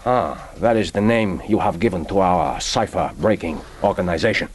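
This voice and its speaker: An actor playing a courteous, grave middle-aged Japanese intelligence chief with a formal, measured manner in a radio play. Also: male voice, low voice, a little hiss or hum, close to an everyday voice. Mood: courteous, guarded. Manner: formal, measured, philosophical, prompting with "huh?". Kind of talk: radio drama acting.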